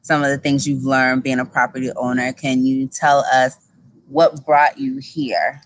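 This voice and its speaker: A young woman hosts a relaxed interview, her voice low for a woman, with a lively, quick delivery. She was recorded outside in a backyard. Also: no echo, low-quality recording. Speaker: podcast host. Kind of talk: casual interview hosting. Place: backyard outdoors.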